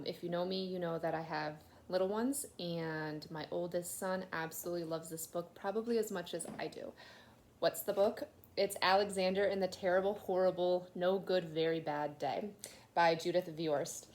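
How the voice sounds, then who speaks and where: A woman teacher reading a children's picture book aloud, expressively, with dramatic pauses and emphasis. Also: lowish voice, a little mellow.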